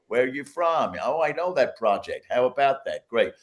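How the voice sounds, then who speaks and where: A man's voice: medium pitch, big pitch swings, normal speed, voice pushed up, lightly acted. A man mimicking a brash, over-cheerful American club comedian, firing peppy stock questions at an audience.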